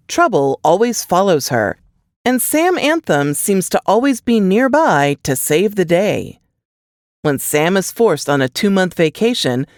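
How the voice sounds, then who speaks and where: A woman's voice: on the low side, no room sound, studio quality. A woman reading a scripted book blurb as a dramatic narration.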